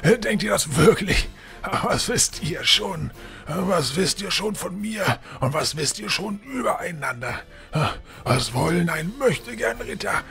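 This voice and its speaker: A man playing a villainous old burgrave turned monstrous in a fan audio drama, sneering and theatrical with menace, in a gravelly voice. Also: high-pitched, pushed and bright, full character voice. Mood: mocking. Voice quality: gravelly, creaky. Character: old villainous burgrave swollen into a demonic troll.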